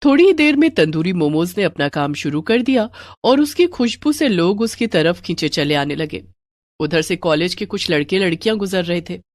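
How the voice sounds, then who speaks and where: A woman's voice: lowish voice, expressive, moderate pace, no room sound. A woman narrating a scripted moral bedtime story as a storyteller.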